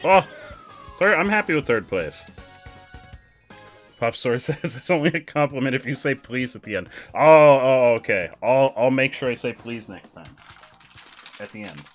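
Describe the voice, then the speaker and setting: A young man casually commenting on a kart race while chatting with his viewers, excitable and quick to laugh. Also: medium pitch, soft background music. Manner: excitable, giggly, chats with viewers. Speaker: streamer.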